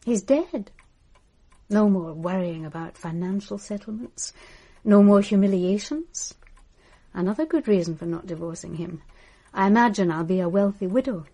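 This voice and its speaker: An actress in a radio drama playing a scornful, bitter estranged wife with a caustic, haughty manner. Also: female voice, mid-range voice, moderate pace, dark tone, close to an everyday voice. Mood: coldly triumphant.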